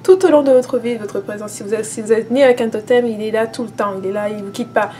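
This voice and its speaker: A woman's voice: fairly high pitch, quick pace, warm tone, slight background noise. A woman vlogger talking casually to camera as a spiritual teacher, hesitant, with many fillers and restarts.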